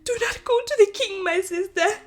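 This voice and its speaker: A woman's voice: extremely high pitch, moderate pace, pitched above the performer's own voice. A woman voicing a proud young woman who is sobbing, pleading and hysterical with terror in a storybook tale.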